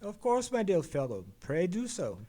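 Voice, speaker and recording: A man's voice: sits high, wildly swooping pitch, normal speed, mellow, full character voice. An actor playing an erudite, world-weary male detective in an old-time radio play, with a pedantic and eloquent manner. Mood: lofty, amused. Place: live performance in a theatre.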